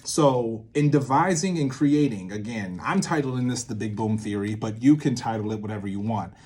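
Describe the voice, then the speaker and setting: A man hosting a casual vlog, thinking aloud in an informal, rambling way with sudden drawn-out, emphatic words. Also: mid-range voice, darkish tone, breathy edge. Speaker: video series host, theatre maker. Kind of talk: casual unscripted creative-process talk, thinking aloud.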